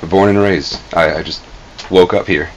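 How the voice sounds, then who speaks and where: A man's voice: low voice, steady tone, moderate pace, a little hiss or hum, poor audio. A man from Atlanta, a drummer, chatting casually with lots of "like" and "um" in a music studio. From Atlanta, USA. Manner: talkative, filler-heavy, rambling. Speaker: band drummer. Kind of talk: casual interview talk.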